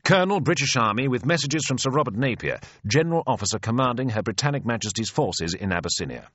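Audiobook role: male narrator as British colonel, formal, crisp, animated, standing on ceremony. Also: mid-range voice, fast talker, no echo, lo-fi sound, pitched above the performer's own voice. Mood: brisk, formal. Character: bluff British army colonel, formally announcing himself.

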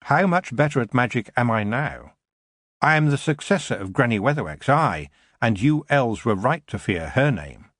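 A man reading an audiobook, voicing a firm young witch girl who speaks with measured authority. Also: medium pitch, very animated, a bit bright, dry recording, clean studio sound. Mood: commanding.

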